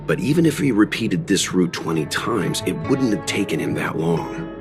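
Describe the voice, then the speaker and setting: A man playing a calm, thoughtful and gently skeptical mentor in a video game, reserved and measured. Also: sits low, over music. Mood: pensive.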